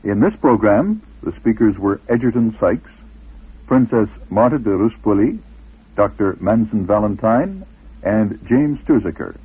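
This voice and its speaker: A man's voice: fairly low pitch, expressive, unhurried, breathy edge. A man reading scripted narration as the announcer of a radio documentary series, on a muffled vintage recording.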